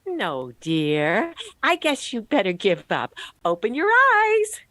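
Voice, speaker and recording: A woman's voice: fairly high pitch, wildly swooping pitch, slow, a bit bright, no room sound, clean studio sound, full character voice. A woman playing a fussy, playful landlady in a 1940s-style radio comedy, speaking in a fluttery, singsong way. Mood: playful, gleeful. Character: fussy, chirpy, playful landlady serving breakfast.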